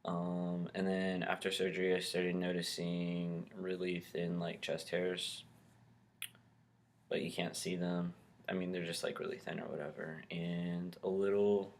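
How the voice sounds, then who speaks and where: A man's voice: sits low, flat delivery, a little slow, creaky, a little hiss or hum. A young man talking casually to his camera in a vlog, rambling with lots of ums and likes. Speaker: vlogger.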